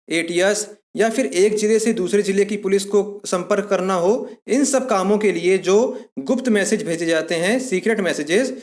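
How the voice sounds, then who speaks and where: A man giving an explanatory lesson as an exam-prep instructor, methodically restating points in simpler words. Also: high-pitched.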